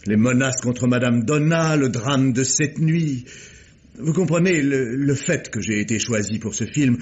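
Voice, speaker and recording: A man's voice: medium pitch, a little slow, darkish tone, highs cut off, close to an everyday voice. An actor playing an anxious, ambitious male writer in an old French radio drama, worried and deferential.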